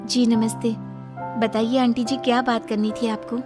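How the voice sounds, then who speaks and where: A woman plays a stubborn young daughter-in-law in a cartoon family drama. She answers back with pert, mock-sweet cheek in a high-pitched voice, with music behind her. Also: level tone, moderate pace, natural voice. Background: background music.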